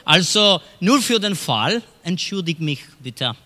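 A middle-aged man from Chile with a Chilean Spanish accent, a pastor preaching a sermon in a slow storytelling way with hushed dramatic drops. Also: high-pitched, big pitch swings. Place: church hall. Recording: long hall reverb.

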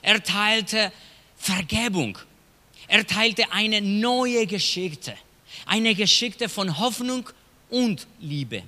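A middle-aged man from Chile with a Chilean Spanish accent, a pastor preaching a sermon in a slow storytelling way with hushed dramatic drops. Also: high voice, lively intonation. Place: church hall. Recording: long hall reverb.

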